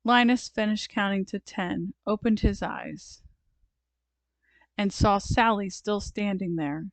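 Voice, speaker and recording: A woman reads a children's story aloud in an expressive, deliberate way. She takes her time, speaking very slowly. The recording is dry and close, with no room sound. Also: medium pitch, no room sound. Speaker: storybook reader.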